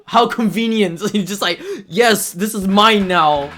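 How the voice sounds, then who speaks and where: A speedrunner giving casual live commentary while playing, with excitable outbursts. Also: medium pitch, measured pace. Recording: loud game music behind. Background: video game music.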